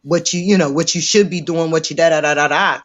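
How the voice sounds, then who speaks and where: A man's voice: a little high, steady tone, brisk, fairly bright tone. A middle-aged man in recovery talking bluntly in a casual debate, often repeating himself. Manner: blunt, repetitive, talks over others. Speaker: recovering alcoholic. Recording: dull lo-fi audio.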